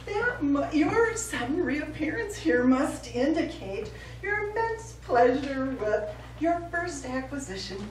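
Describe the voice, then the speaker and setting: A woman's voice: high voice, big pitch swings, slow pace, full character voice. A middle-aged actress playing a pompous, easily wounded woman painter on stage, in florid, grandiloquent style. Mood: gushing. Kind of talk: live stage comedy acting. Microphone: distant audience camera. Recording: echoey small theatre, distant mic, faint noise.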